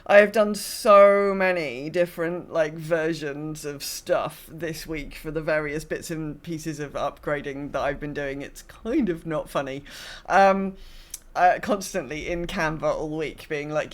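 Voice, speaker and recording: A woman's voice: deep voice, measured pace. Chatty, rambling woman podcast host. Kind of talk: casual chat.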